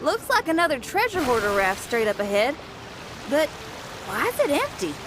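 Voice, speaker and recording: Energetic young woman, high bouncy voice, perky game sidekick, loud sounds behind. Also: normal speed, very noisy. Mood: excited, curious. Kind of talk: video game voice acting.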